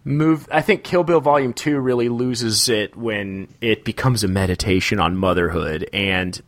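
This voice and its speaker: A man co-hosting a podcast, talking about films in an animated, opinionated way, often laughing and acting out quotes. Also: on the low side, normal speed. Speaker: podcast co-host. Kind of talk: casual film discussion.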